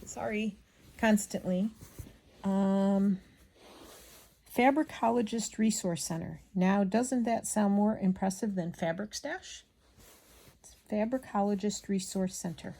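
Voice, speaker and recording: A woman chatting casually as she hand stitches, rambling and absent-minded, muttering to herself, with a slightly gravelly voice. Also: medium pitch, takes it slowly, mellow. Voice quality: slightly gravelly from a frog in the throat. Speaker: craft vlogger.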